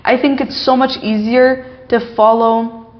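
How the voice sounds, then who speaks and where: A woman's voice: on the high side, fairly even intonation, unhurried, muffled recording. A young woman from Egypt speaking as an interview guest, reflective and earnest, with frequent fillers and restarts. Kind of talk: casual interview.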